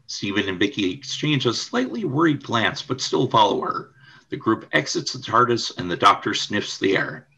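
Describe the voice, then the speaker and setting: Gravelly, bright male narrator. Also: lowish voice, very animated, normal speed, rough recording. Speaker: radio play narrator. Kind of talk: scripted narration of stage directions.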